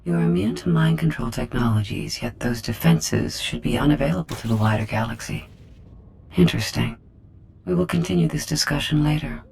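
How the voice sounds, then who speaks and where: An actor voicing a cold, sadistic artificial intelligence in a video game, speaking in measured, formal menace. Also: male voice, fairly high pitch, expressive, creaky, background noise. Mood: clinical curiosity.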